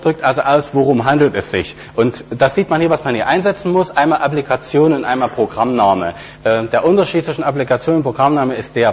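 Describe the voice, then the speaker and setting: A man's voice: mid-range voice, breathy edge. A man giving a technical conference talk with a live demo, explaining step by step with many hesitations and fillers. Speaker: conference speaker. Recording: dull lo-fi recording with faint hum.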